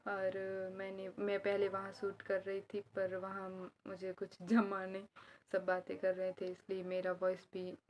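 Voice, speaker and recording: A young woman vlogging casually at home, a little hoarse from a sore throat, chatting at length as she explains family customs. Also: medium pitch, monotone, measured pace, a little mellow, slight background noise. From Gujarat. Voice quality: slightly hoarse. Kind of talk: casual vlog chat. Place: indoors at home, inner room.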